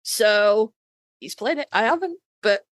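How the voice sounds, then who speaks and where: A woman hosting a casual podcast chat in a playful, animated storytelling manner. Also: fairly high pitch, unhurried, no echo, studio quality. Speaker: podcast host.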